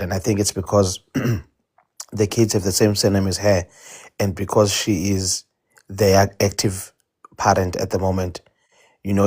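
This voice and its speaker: Man, low-ish voice, casual storytelling, hesitant, lots of restarts. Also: darkish tone, husky. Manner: rambling, hesitant with frequent restarts and fillers. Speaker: debate participant.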